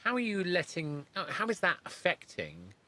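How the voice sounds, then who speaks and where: A man's voice: high voice, wildly swooping pitch, normal speed, sharp tone. A man hosting a podcast, interviewing conversationally and thinking aloud in a discursive way with frequent restarts. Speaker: podcast host interviewing a guest.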